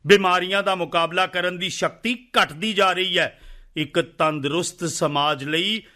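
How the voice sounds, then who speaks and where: A man's voice: sits high, fairly even intonation, unhurried, bright, forceful tone. A man playing an educated village secretary in a radio play, polite, patient and reasoning, with a Punjabi accent. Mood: grave.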